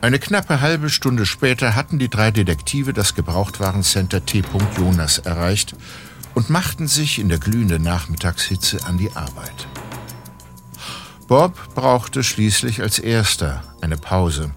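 A man playing the narrator of a detective audio drama, reading in a measured scripted style. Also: lowish voice, sing-song, music in the background. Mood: narrating. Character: measured male storyteller of detective story.

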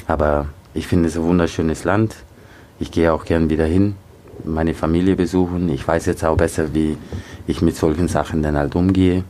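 A man from Nigeria, talking in a relaxed, halting way in an interview, with many fillers. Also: low-pitched, a little hiss or hum. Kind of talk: casual interview.

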